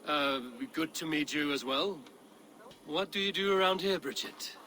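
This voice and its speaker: An actor playing a steady, diplomatic Viking warrior chieftain in a video game, measured and dryly wry. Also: male voice, a little high, low-quality recording. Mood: friendly, probing. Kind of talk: dramatic video game acting.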